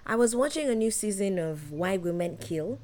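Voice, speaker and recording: A woman's voice: mid-range voice, expressive, normal speed, crowd noise. A woman with a Nigerian accent co-hosting a podcast, reflecting aloud and giving her opinions in a casual way. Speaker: podcast co-host. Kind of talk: casual conversational discussion.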